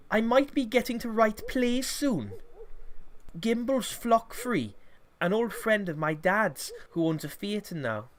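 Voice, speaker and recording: A man playing a laid-back, good-natured young storyteller in a comedy fantasy audio drama, rambling and sweary in colloquial talk. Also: high-pitched.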